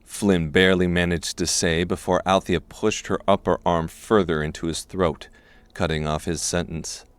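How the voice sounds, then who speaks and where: Male narrator for dark fantasy: very low-pitched voice, scripted storytelling, dry studio-close sound. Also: little pitch movement, moderate pace, clear, resonant tone, no room sound. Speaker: audiobook narrator.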